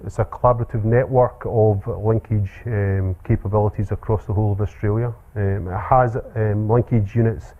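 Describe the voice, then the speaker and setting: A man with a Scottish accent giving a relaxed academic seminar talk with frequent ums and uhs. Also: lowish voice, normal speed, hardly any pauses. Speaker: lecturer. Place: seminar room. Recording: tinny phone-like sound.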